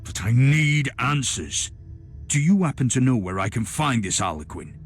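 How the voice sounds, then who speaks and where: An actor playing a stern, suspicious male inquisitor in a video game, speaking in a terse, authoritative, measured way. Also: medium pitch, big pitch swings, lightly acted. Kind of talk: dramatic video game cutscene acting. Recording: music behind the voice.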